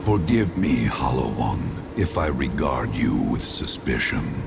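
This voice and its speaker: An actor playing an ancient, sorrowful spirit in a video game, speaking in solemn, archaic, grandiose phrases. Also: male voice, rumbling low, big pitch swings, measured pace, vocal fry, loud music behind the voice, full character voice. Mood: wary. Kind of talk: formal archaic dramatic game acting.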